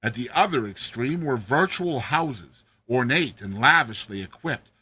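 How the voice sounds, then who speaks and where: A man giving a theatrical, expressive dramatized reading of a satirical short story as its narrator. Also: medium pitch, measured pace, slightly rough. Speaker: story narrator, show host.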